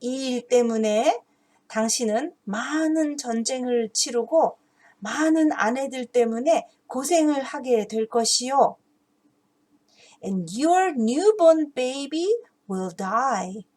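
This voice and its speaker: A woman's voice: high-pitched, lively intonation, slow, darkish tone, full character voice. A woman voicing a stern male prophet rebuking a king in a children's Bible story, solemn and emphatic. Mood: stern, accusing.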